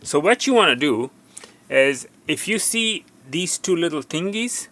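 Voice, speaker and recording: A man's voice: a little high, expressive, measured pace, pushed and bright. A man giving an unscripted hands-on tutorial as a repair technician, instructive and cautioning, often repeating himself for emphasis.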